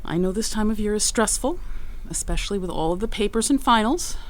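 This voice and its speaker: An actress playing a sweetly menacing school counselor who is secretly a monster, genteel and savoring, in a horror audio drama. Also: female voice, medium pitch, lively intonation, a little fast, slight background noise, natural voice. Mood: patronizing, savoring. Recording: dramatic music behind the voice.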